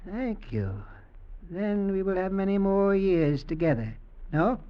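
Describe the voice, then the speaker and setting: An actor playing a menacing old executioner and possessive husband, speaking in pointed, mock-affectionate questions in vintage radio drama style. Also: male voice, high voice, expressive, measured pace, smooth. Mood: sly false sweetness. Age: older. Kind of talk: 1940s-50s American radio drama acting. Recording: dull vintage radio recording.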